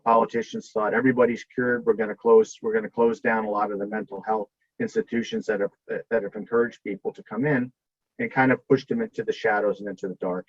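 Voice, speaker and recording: A man with a low, husky voice gives unscripted panel remarks as a state representative. He speaks haltingly, circling back to rephrase, on dry, dull lo-fi call audio. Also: no echo.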